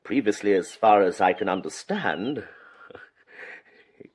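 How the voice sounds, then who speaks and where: An actor playing an unctuous, condescending, ambitious English church official in a period radio drama, patronising and deliberate in his emphasis. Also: on the low side, animated, darkish tone, slight background noise. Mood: coldly lecturing. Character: oily, condescending, ambitious church official, coldly reforming villain.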